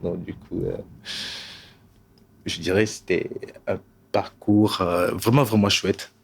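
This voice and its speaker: Soft-spoken young man from Togo. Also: low voice, steady tone, slow, vocal fry, slightly rough. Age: 20s. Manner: hesitant, many fillers, soft laughs. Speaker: dancer, singer and poet. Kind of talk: relaxed panel chat with a recited poem.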